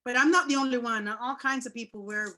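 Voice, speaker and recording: Bright-voiced woman telling a story. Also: a little high, fast. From Jamaica. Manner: warm, chatty, loud voice. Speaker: guest speaker. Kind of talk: casual storytelling on a video call. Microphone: video call.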